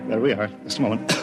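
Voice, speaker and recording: An actor playing a fussy, officious and servile ceremony aide in a 1950s radio drama. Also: male voice, mid-range voice.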